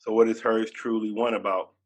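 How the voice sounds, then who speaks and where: A man interviewing a singer in a relaxed, slangy, conversational way. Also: lowish voice, fairly quick. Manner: laid-back, slangy, conversational. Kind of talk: casual interview.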